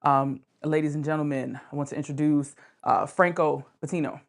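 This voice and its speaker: Low-voiced woman hosting a podcast. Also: steady tone, moderate pace. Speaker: podcast host.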